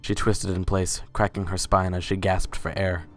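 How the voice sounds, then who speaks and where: Low-voiced man narrating a story. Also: level tone, moderate pace, soft background music. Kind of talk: scripted dramatic story narration.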